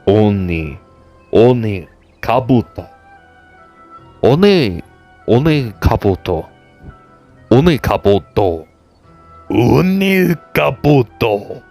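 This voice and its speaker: A man's voice: mid-range voice, big pitch swings, takes it slowly, creaky, long pauses, a little mellow, soft background music. A man giving casual, joking gameplay commentary, with playful teasing asides. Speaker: gamer reacting to a story quest.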